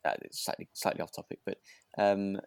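Fast-talking British man with creaky voice. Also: sits low, level tone, no room sound. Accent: British English. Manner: hesitant, repeats words as they think aloud. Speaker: podcast co-host. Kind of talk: casual chat, discussing a radio drama.

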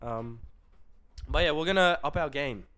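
A man's voice: on the high side, normal speed, pushed and bright. Laid-back young man, slangy and casual. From Philippines. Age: young adult. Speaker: podcast co-host. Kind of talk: casual chat.

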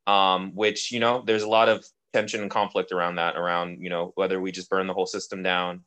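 A young man co-hosts a casual podcast in a very deep, low voice. He speaks in measured, articulate sentences with frequent ums. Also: flat delivery, a bit bright, clear, resonant tone. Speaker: podcast co-host, policy advocate.

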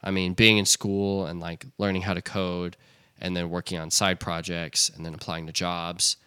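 A man's voice: low-pitched, flat delivery, moderate pace, bright, forceful tone, clear, resonant tone, no echo. A young man co-hosting a casual podcast, rambling with lots of restarts and filler words. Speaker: podcast co-host. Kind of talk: casual chat.

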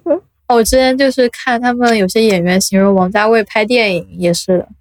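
A woman's voice: fairly high pitch, fairly quick, no room sound. A woman in casual conversation as a podcast co-host. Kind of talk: casual chat.

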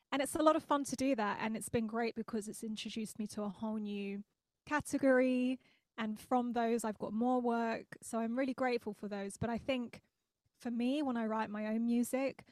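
A woman's voice: on the high side, a little mellow, no room sound. A young adult woman singer-songwriter chatting in an interview from her home studio, laughing often and sounding grateful. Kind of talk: casual interview chat. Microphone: studio mic.

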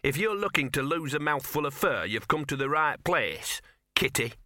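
A man's voice: fairly high pitch, animated, moderate pace, bright, forceful tone, no echo, clean studio sound, pitched above the performer's own voice. A man voicing a scruffy small male dog in a storybook, growly and blustering in a colloquial way. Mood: snarling.

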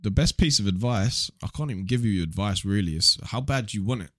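A young man with a southern English accent and a low-pitched voice talks casually on a podcast. He is blunt and slangy, swearing freely, and the sound is dry with little room to it. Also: lively intonation, a little fast, fairly bright tone, dry recording. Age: young adult. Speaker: podcast guest, online video creator.

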